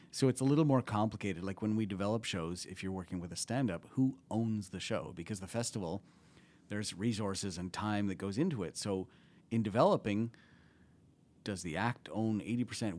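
Low-voiced Canadian man, rambling talk. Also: darkish tone. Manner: thoughtful, rambling, trails off. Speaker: comedy festival TV producer, guest. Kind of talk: casual podcast conversation.

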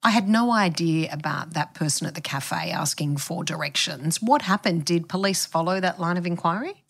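A woman's voice: on the low side, normal speed, vocal fry, husky. A woman podcast host narrating events and putting questions to a guest. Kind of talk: narrative interviewing.

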